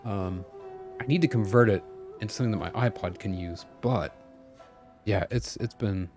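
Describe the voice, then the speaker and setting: A man's voice: fairly low pitch, moderate pace, music in the background. A man chatting casually as a game streamer, talking to himself and to his viewers.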